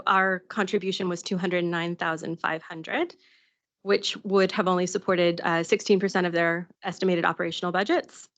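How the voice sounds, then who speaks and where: Casting: woman, new zealand accent, lower voice, hesitant presenter reading numbers in a meeting, dull sound. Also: fairly bright tone. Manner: many ums and uhs, reads out figures. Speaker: council staff presenting a report.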